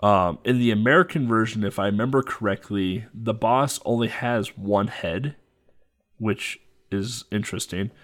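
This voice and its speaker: A man with a lowish voice talks through a retro game boss fight in a loose, rambling way. He fills gaps with ums and breaks into laughs often. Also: normal speed, a bit bright. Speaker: game commentator. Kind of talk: casual retro game playthrough chat.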